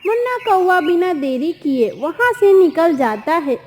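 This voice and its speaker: A woman's voice: very high-pitched, very animated, a little slow, darkish tone, big-room sound. A woman narrating a scripted children's story as a storyteller.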